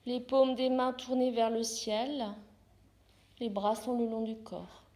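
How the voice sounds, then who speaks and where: A woman leads a guided relaxation. She speaks very slowly and calmly, giving short instructions and leaving space between them. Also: a little high. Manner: calm, unhurried, short soothing instructions with pauses. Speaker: yoga therapist guiding relaxation. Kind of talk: guided yoga nidra meditation.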